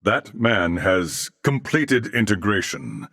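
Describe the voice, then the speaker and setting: An actor voicing a calm, courteous cybernetic alien in a video game, with a deep, gravelly voice and formal, measured, precise diction. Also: male voice, dry recording, studio quality, exaggerated voice. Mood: solemn, composed. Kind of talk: dramatic video game voice acting.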